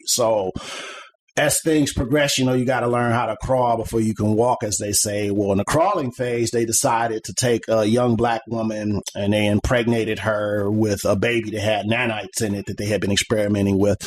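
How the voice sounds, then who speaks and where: A man's voice: fairly low pitch, a little hiss or hum, low-quality recording. Rambling middle-aged man, casual podcast guest. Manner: rambling and conversational, many "like" and "you know" fillers. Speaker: podcast guest, comic artist. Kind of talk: casual interview chat.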